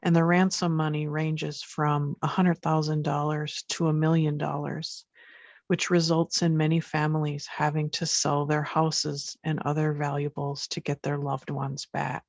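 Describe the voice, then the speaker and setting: A woman from Haiti, a women's rights advocate, reading a prepared statement in an even, measured way. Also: low-pitched, gravelly, no room sound. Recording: dull dry recording.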